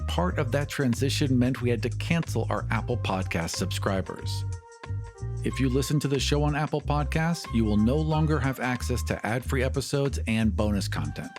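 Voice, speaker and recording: Male podcast host announcing over music. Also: mid-range voice. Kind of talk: scripted announcement to listeners.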